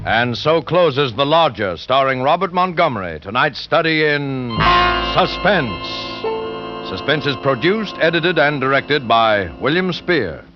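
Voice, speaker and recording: A man's voice: mid-range voice, big pitch swings, a little slow. A man announcing a 1940s radio show in a formal, dramatic scripted style. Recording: dull 1940s radio broadcast, faint music.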